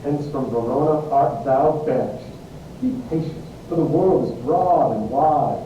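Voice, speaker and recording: A man's voice: fairly high pitch, a little slow, natural voice. A man acting a stern, counselling friar, exasperated yet caring, in a Shakespearean verse reading. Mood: grave, soothing. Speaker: amateur actor, English teacher. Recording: very echoey room, muffled, distant, faint hiss.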